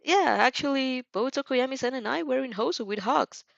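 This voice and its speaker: A woman's voice: sits high, fairly quick, vocal fry, dry recording, lo-fi sound, voice pushed up. A woman voicing a composed, lightly amused teenage girl who studies to be a hero, in an amateur audio drama.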